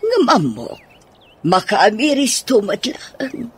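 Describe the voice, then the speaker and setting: Worried elderly relative for radio drama, played by a man: very raspy, slow and plaintive. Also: high voice, huge pitch swings, heavily acted. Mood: troubled.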